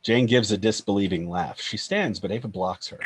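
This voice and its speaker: A man narrates a screenplay, reading out scene headings and action lines. His voice is fairly low with a noticeably husky, unclear grain. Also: normal speed. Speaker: narrator reading stage directions.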